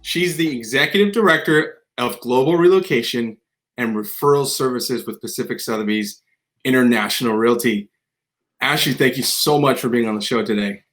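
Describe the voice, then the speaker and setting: A man's voice: mid-range voice, moderate pace, fairly bright tone. A man hosting a podcast interview, talking in a loose, rambling way with many restarts. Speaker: podcast host. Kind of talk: conversational interview.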